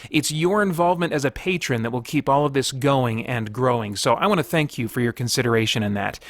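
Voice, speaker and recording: A man's voice: mid-range voice, a little fast, dry recording. A man delivering a scripted promotional message as a host, asking listeners for their support.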